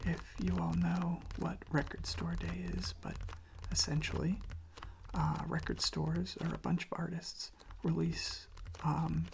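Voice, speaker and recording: An ASMR creator speaking slowly in a soft, hushed whisper close to the microphone, gently repeating phrases. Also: mid-range voice, gravelly, a little hiss or hum. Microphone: close microphone propped on a desk.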